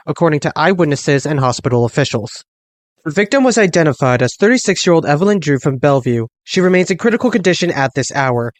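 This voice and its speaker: An actor playing a male American radio newscaster, reading bulletins in a formal, measured announcer delivery. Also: fairly high pitch, dry recording, natural voice. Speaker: news anchor. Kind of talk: scripted radio news bulletin.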